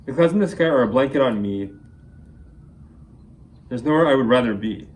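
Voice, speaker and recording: A man's voice: medium pitch, lively intonation, normal speed, a little mellow. Man reciting rhyming poetry, faint background noise. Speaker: amateur poet. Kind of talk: reciting rhyming spoken-word poetry at a reading.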